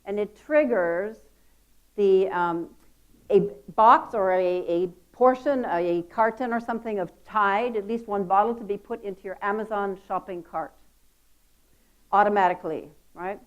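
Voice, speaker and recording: Loud woman talking at a conference, chatty and upbeat, jokes about herself. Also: medium pitch, measured pace, dull audio. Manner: lively, chatty, self-deprecating. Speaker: conference speaker. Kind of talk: conference keynote talk. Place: conference hall.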